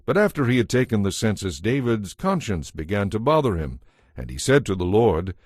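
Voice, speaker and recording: A man's voice: lowish voice, very animated, normal speed, a little mellow, dry recording, studio quality. A man giving a scripted, dramatized narration of scripture as the narrator.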